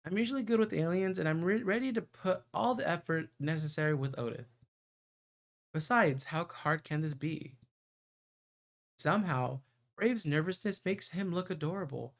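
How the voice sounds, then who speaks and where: A man's voice: on the high side, normal speed, creaky. A man livestreaming a visual novel, reading the narration aloud in a playful way and sometimes stumbling or breaking into chatty asides.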